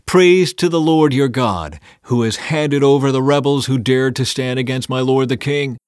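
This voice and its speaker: A man's voice: medium pitch, normal speed, clear, resonant tone, dry recording, studio quality, close to an everyday voice. A man voicing an eager, loyal young messenger, earnest and emphatic, in a scripture audiobook. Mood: excited.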